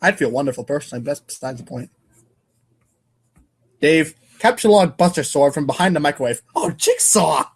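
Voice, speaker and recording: A man's voice: fairly high pitch, lively intonation, normal speed, slightly rough. A man reading comic narration aloud in a casual, irreverent way, stumbling over lines now and then. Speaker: podcast co-host and reader.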